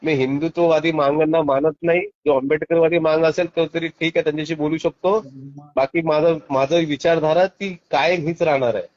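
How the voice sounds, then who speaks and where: A man's voice: fairly high pitch, fairly even intonation, fairly quick, darkish tone. A man arguing bluntly and insistently in a heated phone call. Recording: dull lo-fi phone call recording.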